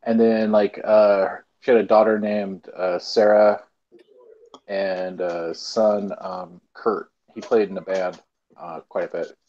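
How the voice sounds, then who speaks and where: A man talks casually as a guest, often pausing with fillers as he searches his memory. His voice sits a little low for a man. The sound is dull and lo-fi, like a compressed call. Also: flat delivery, warm tone. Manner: hesitant, searching for words with fillers. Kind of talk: casual online chat. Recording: dull lo-fi online call audio.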